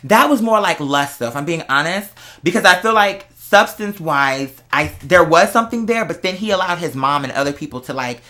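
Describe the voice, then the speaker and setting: Casting: young adult American vlogger, bright forceful voice in a middle register, slangy confessional chat, candid and emphatic. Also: mid-range voice, lively intonation, a little fast. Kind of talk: casual confessional vlog chat to followers.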